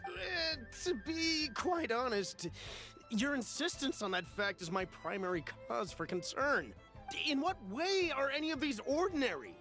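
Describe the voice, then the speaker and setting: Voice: medium pitch, pushed and bright. An actor playing a nervous, cautious customer in a video game, wordy, polite and easily flustered. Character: anxious, cautious, cash-strapped buyer, polite and flustered. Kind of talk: anime game voice acting. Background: game music.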